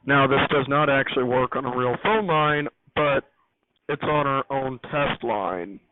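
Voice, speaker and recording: A man's voice: medium pitch, measured pace. A man giving an unscripted technical walkthrough as a hobbyist host, rambling and correcting himself as he explains. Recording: noisy, tinny phone-like audio.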